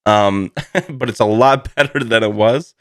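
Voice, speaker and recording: Male podcast host, lowish voice with a slight post-cold hoarseness, chatty and meandering, recorded close and dry. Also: a little slow, dry recording. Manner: rambling, self-deprecating, laughs through his words. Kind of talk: casual solo podcast chat.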